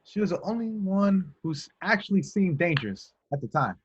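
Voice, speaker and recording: A man's voice: sits high, big pitch swings, moderate pace, slightly rough, muffled recording, exaggerated voice. A man reading the part of a cocky, street-smart young police recruit who talks back, in an amateur script read. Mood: defiant, explaining. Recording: home recording, remote reading.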